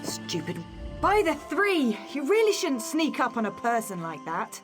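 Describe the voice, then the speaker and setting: A woman's voice: high-pitched, sing-song, a little slow, over music. A woman playing a wary, sardonic young fugitive in a fantasy video game, with a wry and feisty manner. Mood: startled, defiant. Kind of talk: video game character dialogue.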